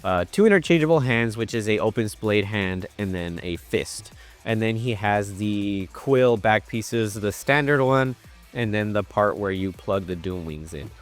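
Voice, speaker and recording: Young male toy reviewer, stuffy-nosed, lowish voice, casual and rambly. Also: lively intonation, measured pace, faint music behind. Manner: chatty, lots of ums and uhs. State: congested, stuffy nose.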